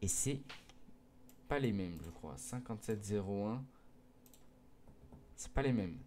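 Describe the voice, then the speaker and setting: Hesitant teenage boy with a mellow voice. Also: fairly low pitch, expressive, slow pace, slight background noise. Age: mid-teens. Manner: hesitant, many fillers and restarts; earnest, pleading with viewers. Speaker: gaming video creator. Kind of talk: casual explanation to viewers.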